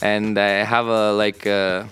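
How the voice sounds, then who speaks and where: A man from Canada and Greece giving casual interview answers as a DJ, often halting to search for words. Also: fairly low pitch, flat delivery, fairly bright tone. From born in Canada, moved to Greece at thirteen. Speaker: DJ and music producer. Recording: music playing underneath. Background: music.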